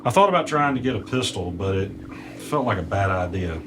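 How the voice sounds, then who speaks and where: Actor as low-voiced, nervous man in a mystery. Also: expressive, brisk, natural voice. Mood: tense, wary. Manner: uneasy, descriptive first-person storytelling. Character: wary, nervous male audio editor narrating his ordeal. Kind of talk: audio drama narration and dialogue. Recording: clearly noisy background.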